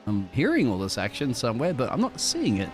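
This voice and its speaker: A man's voice: mid-range voice, huge pitch swings, fairly quick. A man leading live sim-racing commentary in a chatty, jokey way. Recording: loud music bed behind voice.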